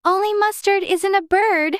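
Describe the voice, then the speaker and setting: A woman's voice: extremely high pitch, fairly even intonation, measured pace, dry recording, studio quality, pitched above the performer's own voice. A woman voicing a polite, curious, opinionated little girl in a dramatized storybook. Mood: polite, cautious.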